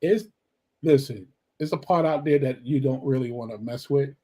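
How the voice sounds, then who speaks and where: A man's voice: mid-range voice, moderate pace, mellow, breathy edge. Hyped-up male radio show host. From Brooklyn, New York. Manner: friendly, hyped, repeats "so now". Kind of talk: casual interview.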